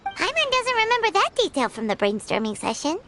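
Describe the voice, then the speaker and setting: A woman voicing a tiny floating female companion who talks about herself in the third person, excitable and chatty in a video game. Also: very high-pitched, fairly quick, a little hiss or hum, full character voice. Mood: curious.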